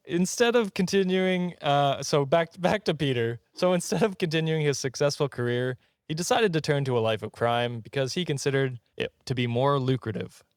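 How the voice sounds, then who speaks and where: A man's voice: a little high, no room sound, clean studio sound. A man hosting a comedy podcast, telling stories informally with amused, jokey asides. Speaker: podcast host. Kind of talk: casual comedic podcast storytelling.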